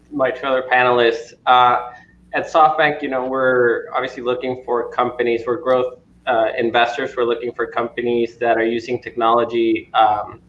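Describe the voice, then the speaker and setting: Male panelist, flat monotone, hesitant, muffled echoey recording. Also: mid-range voice, a little hiss or hum. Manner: hesitant, many fillers. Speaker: investor panelist. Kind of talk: panel discussion. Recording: dull, echoey room sound.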